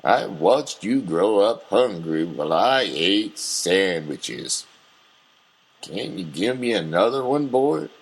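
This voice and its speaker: An actor playing a bitter, scolding older father in a surreal audio drama, grumbling and accusing in a gravelly voice. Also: low-pitched, sing-song, takes it slowly, full character voice. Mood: indignant. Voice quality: gravelly, husky.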